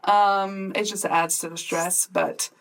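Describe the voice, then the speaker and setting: A woman's voice: medium pitch, level tone, slow, rough recording. A woman of thirty-five from Switzerland, co-hosting a podcast and chatting casually and informally about her life, often breaking into giggles. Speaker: podcast host. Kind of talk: casual chat, sharing personal stories.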